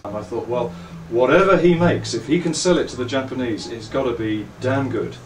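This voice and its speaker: A middle-aged man with an English accent, giving reflective, articulate interview answers in measured phrases and telling anecdotes as a company owner and designer. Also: mid-range voice. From England. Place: indoors at a trade show. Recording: roomy, distant mic, faint background noise.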